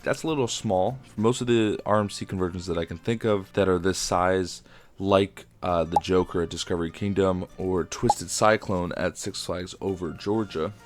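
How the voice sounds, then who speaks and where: A young man with a sore throat and a raspy voice, chatting casually as he builds in a game. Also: sits low. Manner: chatty, enthusiastic, frequent fillers. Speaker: game video creator. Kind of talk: casual commentary while building.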